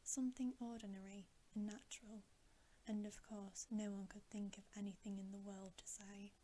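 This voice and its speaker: A woman whispering a bedtime story in a hushed, breathy, gentle and unhurried ASMR style. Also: mid-range voice, flat delivery, slight background noise. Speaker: ASMR reader.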